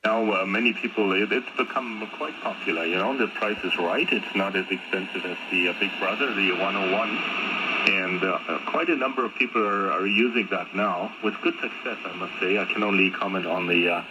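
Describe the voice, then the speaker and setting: A man's voice: deep voice, a little fast. A man chatting conversationally as an amateur radio operator, heard over a shortwave radio transmission. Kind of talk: casual ham radio exchange. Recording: noisy HF radio reception. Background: radio static noise.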